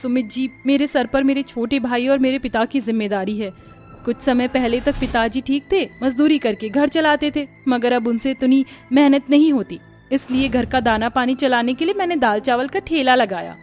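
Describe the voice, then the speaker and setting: A woman voicing a poor, humble young food seller in a cartoon story, polite and gentle in manner. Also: high-pitched, normal speed, soft background music, close to an everyday voice. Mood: earnest. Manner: polite, meek. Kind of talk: Hindi cartoon story acting.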